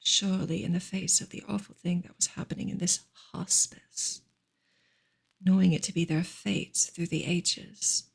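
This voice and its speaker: A woman reads narrative prose in a soft, intimate ASMR style. Her voice is heavily breathy and thick with creak, sitting at an average pitch. The delivery is gentle and hushed, often dropping into a whisper. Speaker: ASMR reader. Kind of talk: soft ASMR audiobook reading, partly whispered.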